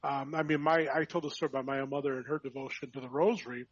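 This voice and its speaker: Husky-voiced priest, reflective and warm-hearted. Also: male voice, medium pitch, fairly even intonation, rapid-fire, dry recording, lo-fi sound. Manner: reflective, with frequent restarts and fillers. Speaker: priest and vocation director. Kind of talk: conversational podcast chat.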